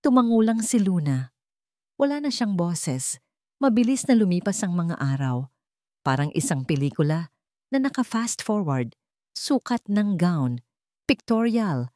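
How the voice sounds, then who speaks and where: A Filipino-accented woman reads a dramatic story as narrator. Her voice sits a little low, and she delivers the script in measured, short phrases. The sound is dry, with no room around it. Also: big pitch swings, darkish tone, no echo.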